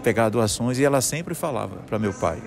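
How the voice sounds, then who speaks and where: A man's voice: medium pitch, expressive, brisk, creaky. A middle-aged man from Salvador, earnestly telling his personal story in an interview and often restarting his phrases. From Salvador, Brazil. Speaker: guest, musician and conductor. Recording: music playing behind the voice.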